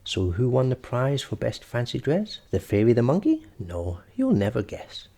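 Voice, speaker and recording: A man's voice: medium pitch, huge pitch swings, moderate pace, vocal fry, dark tone. A man reading a children's story aloud expressively, as storyteller and host.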